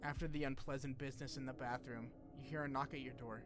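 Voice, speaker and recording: A man's voice: medium pitch, fairly even intonation, fast, a bit bright. A man hosting a video essay, mixing scripted narration with casual, joking asides and some swearing. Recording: music behind the voice. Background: background music.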